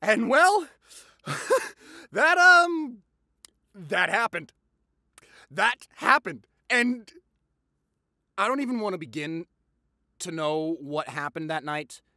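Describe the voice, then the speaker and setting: A man's voice: sits high, big pitch swings, slow pace, long pauses, bright, forceful tone, dry recording, clean studio sound, full character voice. A young man playing a gossipy, playful, affectionate boyfriend in an audio roleplay, theatrical and teasing in his delivery. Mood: excited gossip. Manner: theatrical, exaggerated, teasing, chatty.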